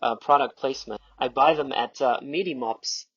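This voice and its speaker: A man's voice: medium pitch, normal speed, a bit bright, husky, no room sound. Dryly joking man on a slightly muffled podcast. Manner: dry, tongue-in-cheek humour. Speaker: podcast participant. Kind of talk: casual chat. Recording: slightly dull podcast recording.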